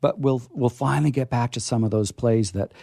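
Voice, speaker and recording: A man's voice: mid-range voice, vocal fry, mellow, dry recording. Chatty male host, dry studio sound. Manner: halting, repeats words as he goes. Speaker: radio theatre host. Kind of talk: casual, chatty show hosting.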